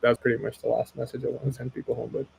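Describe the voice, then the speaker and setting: A man from Pakistan talks through his ideas in a relaxed webinar conversation. He sounds earnest and persuasive and leans on fillers like "like" and "right?" as he goes. His pitch sits in a middle range. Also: very fast, vocal fry, a little hiss or hum, poor audio. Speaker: expert guest.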